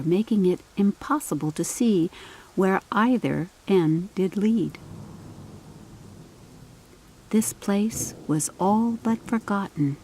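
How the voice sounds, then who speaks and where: A woman's voice: mid-range voice, mellow, poor audio. A woman reading a scripted, dramatic story as an audiobook narrator.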